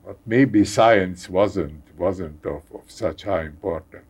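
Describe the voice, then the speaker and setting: An older man from Hungary with a Hungarian accent, speaking haltingly as a guest in a conversational interview, repeating words and chuckling wryly. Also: sits low, a little mellow, husky. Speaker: guest scientist.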